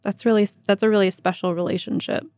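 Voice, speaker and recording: A woman's voice: medium pitch, steady tone, fast talker, a little mellow, no echo. Female podcast host for radio. Conversational interviewing and presenting, middle register.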